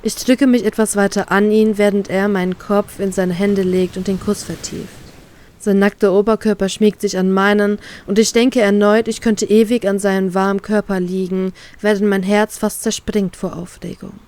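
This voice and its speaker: A woman reading her own first-person romance fan fiction with calm, steady narration. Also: mid-range voice, moderate pace.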